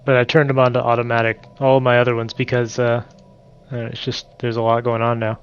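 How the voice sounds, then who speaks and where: A man's voice: mid-range voice, completely flat delivery, brisk, highs cut off, soft background music. Stuffed-up man muttering over a game. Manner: thinking aloud, muttering to himself. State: stuffed up from allergies. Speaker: game streamer. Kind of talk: casual multiplayer gameplay commentary.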